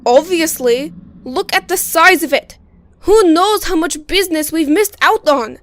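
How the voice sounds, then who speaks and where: A woman's voice: extremely high pitch, measured pace, a bit bright, dry recording. A woman playing a brash, outspoken little creature villager in an audio drama. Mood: indignant.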